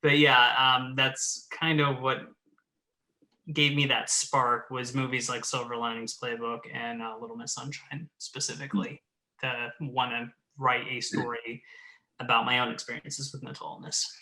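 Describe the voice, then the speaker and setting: A man with a bright, forceful voice in a casual retrospective chat, talking as a writer and director. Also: mid-range voice, flat delivery, a little slow, low-quality recording. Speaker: writer-director of an audio drama, panel guest.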